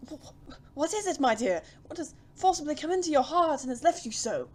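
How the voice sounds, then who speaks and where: A woman playing a doting, pompous gentleman suitor in a period audio drama, with gallant, flowery and fussy delivery. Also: sits high, animated, a little fast. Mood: fretful, doting. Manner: flowery, gallant, fussy, stammers when flustered.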